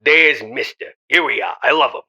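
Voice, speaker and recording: A man voicing a gruff older cartoon brass worker, low and deliberate, filtered as if through a telephone speaker. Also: sharp tone, heavily acted. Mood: proud, declaring. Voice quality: low, dropped deep.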